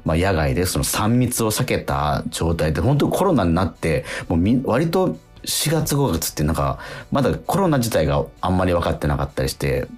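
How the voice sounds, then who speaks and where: A man's voice: sits low, sing-song, a little fast, few pauses, a little mellow, soft background music. A man hosting a casual radio talk in a conversational way, often seeking agreement from his listener. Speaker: radio show host and stage actor. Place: remote recording.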